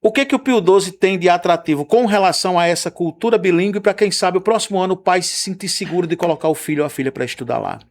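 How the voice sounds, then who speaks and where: A man's voice: high voice, fast talker, few pauses. A man with a Brazilian Portuguese accent hosting a radio interview, asking long, winding questions with "não é?" tags.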